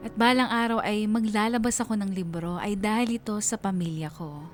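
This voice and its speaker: A woman's voice: mid-range voice, a little fast, faint music behind, close to an everyday voice. A woman playing a young writer who tells her own life story in scripted radio drama narration, wistful and confiding. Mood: troubled, confiding.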